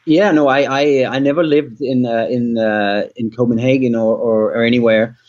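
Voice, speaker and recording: A man from Denmark in his thirties chats as a musician guest, his pitch sitting at a middling level. He talks in a loose, rambling way, full of ums and restarts. The recording sounds dull and lo-fi. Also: steady tone, moderate pace, a little mellow. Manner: rambling, many fillers and restarts. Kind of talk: casual interview chat. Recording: dull lo-fi audio.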